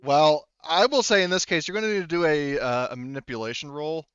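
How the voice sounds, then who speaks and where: A male game master improvising narration in a casual, rambling, halting manner, swearing here and there. His voice is smooth and medium-pitched, on a dry, slightly dull recording. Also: a bit bright, no room sound.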